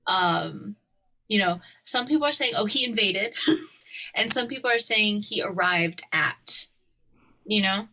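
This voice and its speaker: A woman's voice: medium pitch, a little slow, sharp tone, slight background noise. A woman from Hawaii chatting casually as a podcast co-host, opinionated and slangy. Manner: opinionated, slangy, often laughing. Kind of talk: casual conversational chat.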